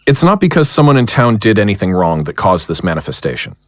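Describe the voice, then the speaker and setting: A man's voice: on the low side, very animated, fast, dry recording. A man playing a commanding monster hunter who leads a ritual battle in an audio drama. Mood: focused.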